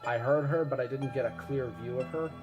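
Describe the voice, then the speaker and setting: A man's voice: medium pitch, fairly even intonation, brisk, lo-fi sound, natural voice. Male actor as earnest eyewitness, grave and suspenseful, emphatic delivery, mid-range voice over prominent music. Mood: grave, recounting. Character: earnest male eyewitness spinning a grave supernatural disaster theory. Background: music behind the voice.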